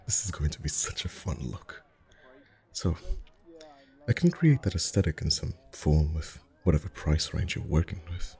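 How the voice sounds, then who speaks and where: Very deep, mellow man acting a gentle face painter. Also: sing-song, moderate pace, exaggerated voice. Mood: warm, attentive. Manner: gentle, rambling, softly chuckling. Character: friendly, chatty, gently flirtatious male festival face painter. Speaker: ASMR voice actor. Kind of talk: ASMR roleplay, soft-spoken acting.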